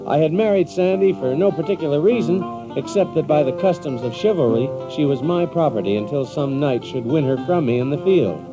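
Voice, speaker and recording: Animated, high-pitched boastful Yankee storyteller played by a man. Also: fairly quick, hardly any pauses, lightly acted. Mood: reflective, pleased. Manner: theatrical, animated, with chuckles. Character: boastful, excitable Yankee adventurer telling his tale. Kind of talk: dramatized audiobook first-person narration. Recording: dull lo-fi audio with loud music.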